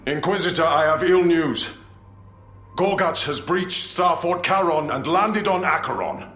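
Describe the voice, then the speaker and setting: An actor playing a resolute, honour-bound space marine commander in a video game, speaking gravely and formally. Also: male voice, on the high side, animated, unhurried, pushed and bright. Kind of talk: video game cutscene acting. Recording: echoey, faint music behind.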